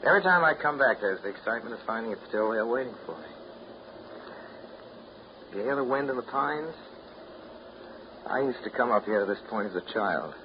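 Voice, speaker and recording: A man's voice: mid-range voice, lively intonation, a little fast, pauses often, close to an everyday voice. An actor playing a moody, sentimental man in a 1930s radio drama, speaking in a clipped, understated, wistful way. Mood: wistful, earnest. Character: Jim, moody, sentimental man bound to his old family home. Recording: muffled, hissy 1939 radio broadcast.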